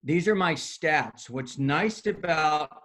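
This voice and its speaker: A middle-aged man, a yoga studio owner, giving a loud, upbeat, chatty screen-share talk over a video call, with lots of "right?" tag questions. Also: on the high side, animated, takes it slowly. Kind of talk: casual screen-share presentation.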